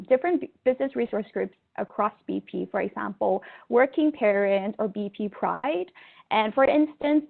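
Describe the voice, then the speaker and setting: Young woman from Thailand, slightly high voice, gravelly edge, earnest testimonial delivery, sounds like a phone line. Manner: earnest, steady, frequent ums and self-corrections. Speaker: procurement manager. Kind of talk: recorded testimonial talk. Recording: tinny phone-like audio.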